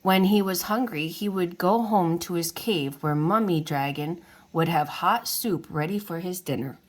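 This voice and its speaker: Female storybook reader, lowish pitch, very unhurried, narrating for young children. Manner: unhurried, addresses young listeners. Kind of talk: read-aloud storybook narration.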